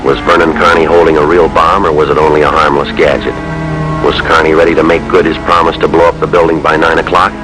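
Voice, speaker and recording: Stoic American detective sergeant. Very low voice, clipped deadpan delivery, vintage crime drama, loud music behind. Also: male voice, quick pace, poor audio. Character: terse, stoic male police detective sergeant. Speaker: narrator and lead actor. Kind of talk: 1950s police drama voice-over and dialogue.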